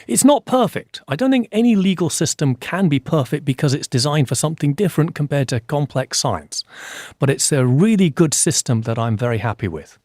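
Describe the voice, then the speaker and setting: An older man from Scotland, a forensic scientist, answering interview questions in a measured, explanatory way and repeating key points for emphasis. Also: a little high, big pitch swings, darkish tone, no room sound, clean studio sound.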